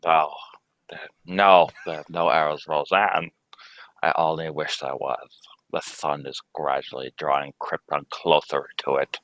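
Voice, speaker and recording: A man playing a solemn, portentous alien scientist in an old-time radio drama, speaking in a measured way. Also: extremely low pitch, flat delivery, fairly bright tone, no room sound, low-quality recording. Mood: grave, foreboding. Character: grave alien scientist warning of doom.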